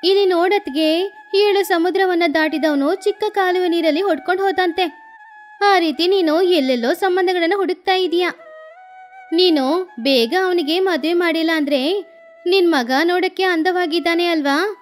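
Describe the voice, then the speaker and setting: A woman's voice: extremely high pitch, moderate pace, faint music behind, pitched above the performer's own voice. A woman voicing a nosy, teasing village neighbour in a chiding manner for a storybook tale.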